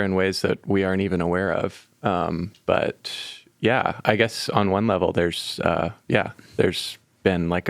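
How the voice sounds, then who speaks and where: A man with a very low, gravelly voice, talking as a guest musician in a casual interview. He pauses and restarts often, feeling his way to each thought. The sound is close and dry. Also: no echo. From North Carolina. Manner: thoughtful, hesitant, soft-spoken. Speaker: band member guest.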